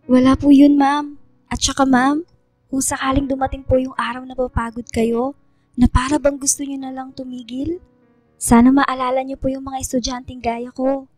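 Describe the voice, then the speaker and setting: Here is a shy, devoted teenage schoolgirl played by a woman in a sentimental radio drama. She has a high voice and speaks with gentle respect and sincerity. The sound is dry, with light music underneath. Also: little pitch movement, normal speed, no room sound. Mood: sincere, grateful. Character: shy, polite, devoted teenage girl student.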